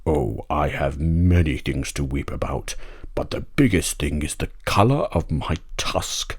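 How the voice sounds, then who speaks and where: A man acts out a grumpy old rhinoceros from a children's storybook. The voice is very low and close, with a dry sound. He drags his words out, aggrieved and full of self-pity. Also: expressive, measured pace, darkish tone, breathy edge, no room sound, full character voice. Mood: mournful, self-pitying. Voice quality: deep, angry voice.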